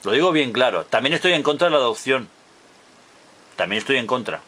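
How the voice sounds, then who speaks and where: A man's voice: medium pitch, expressive, brisk, pushed and bright. A man in his fifties from Barcelona, delivering an emphatic, opinionated monologue to a close webcam and repeating his points insistently. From Barcelona, Spain. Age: 50s. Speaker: video blogger. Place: indoors in front of a blue wall.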